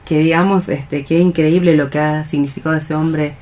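Deep-voiced woman recounting. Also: steady tone, normal speed, dark tone. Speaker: radio programme co-host. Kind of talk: casual radio conversation, recounting. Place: radio programme conversation.

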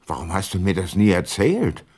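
An actor playing an ageing, weary private detective and former prosecutor, sober and reflective, in a radio play. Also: male voice, sits low, very animated, normal speed, a little mellow, husky, no room sound, exaggerated voice. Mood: troubled. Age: older. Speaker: detective, narrator. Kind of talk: radio play narration and dialogue.

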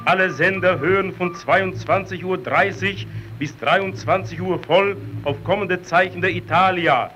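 Insistent radio announcer, high-pitched, deliberate and slow, formal, vintage radio play. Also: male voice, bright, forceful tone, faint music behind, low-quality recording. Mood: urgent. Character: stern male Soviet radio operator sending alerts.

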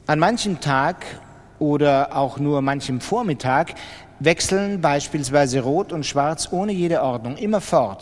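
An actor playing an obsessive, self-mocking gambler who confides his brooding thoughts in a literary radio monologue. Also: fairly high pitch. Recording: long reverberant hall sound.

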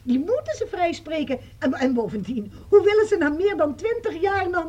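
A woman's voice: very high voice, big pitch swings, normal speed, a little mellow. An actress playing a talkative, defensive woman friend, chatting on the phone in a radio play. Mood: chattering. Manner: chatty, defensive, self-justifying.

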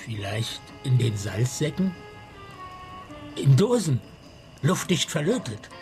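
A terse, hot-headed boatman in a radio crime drama. His medium-pitched voice is matter-of-fact, with music clearly playing behind it. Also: big pitch swings, slow, full character voice. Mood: terse, grim.

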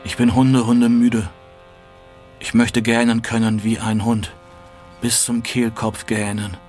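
A man's voice: mid-range voice, level tone, takes it slowly, a little mellow, faint music behind, natural voice. An actor playing an exhausted, impassioned man, declaiming literary prose with weary emphasis. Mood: exhausted, desperate. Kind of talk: dramatic literary performance.

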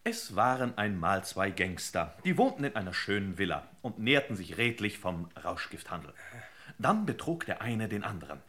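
Wry, sharp-voiced detective boss. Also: male voice, fairly low pitch, sing-song. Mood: droll storytelling. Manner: droll, deliberate, mock storybook narration. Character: wry, self-assured detective boss explaining a gangster case. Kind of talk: 1960s German radio play acting.

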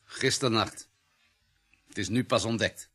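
Deep, husky, terse doctor. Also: male voice, a little slow, fairly bright tone. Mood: clinical. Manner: terse, clinical. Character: clinical, matter-of-fact male police doctor. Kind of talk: radio drama acting.

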